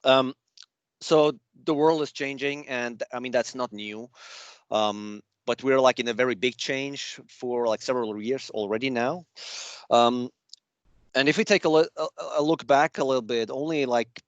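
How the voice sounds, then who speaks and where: A man from Switzerland with a Swiss accent, giving a relaxed slide presentation to a user group over a video call. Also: medium pitch, moderate pace, no echo, muffled recording. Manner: chatty, loose and self-deprecating. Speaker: guest speaker at a user group. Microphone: remote video call.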